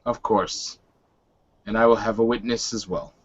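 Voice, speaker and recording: A man's voice: fairly low pitch, steady tone, normal speed, breathy edge, muffled recording. A man playing a proud, hot-tempered male werewolf in a tabletop game, speaking in formal, courtly phrases. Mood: apologetic, formal.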